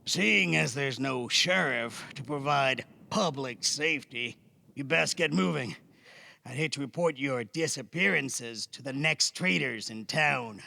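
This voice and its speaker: A man playing a gruff but helpful old soldier in an audio drama, weary and dry, with a gravelly voice. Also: mid-range voice, unhurried, pushed and bright, full character voice. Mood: dry menace. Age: 60s. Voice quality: gravelly, creaky.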